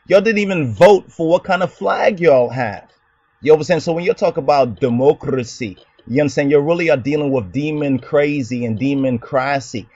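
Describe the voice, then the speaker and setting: A man's voice: on the high side, moderate pace, a little mellow, dry recording, lo-fi sound. A man hosting his own channel, delivering an argumentative commentary monologue in a lecturing tone, often asking "you understand?". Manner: lecturing, rhetorical questions, repeats "you understand". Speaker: channel host answering a commenter.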